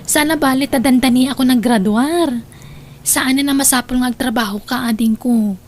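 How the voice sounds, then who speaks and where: A woman's voice: sits high, moderate pace, darkish tone, a little hiss or hum, lightly acted. A woman playing a devoted, hardworking young elder sister with a Filipino accent, earnest and emotive in an Ilocano family radio drama. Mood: earnest, tender.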